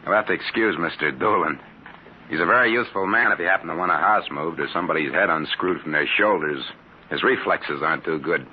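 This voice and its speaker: An actor playing a sarcastic, brash private detective in 1950s radio drama style, on a muffled old broadcast with hiss. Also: male voice, sits low, close to an everyday voice. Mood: wry. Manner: brash, sarcastic, fast-talking. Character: wisecracking hard-boiled private detective, male.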